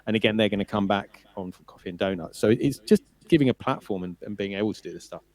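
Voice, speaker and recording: Male host, lowish voice, easygoing and rambling, lots of restarts. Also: fast talker, darkish tone, dry recording. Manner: rambling, with many fillers and restarts. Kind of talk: casual chat.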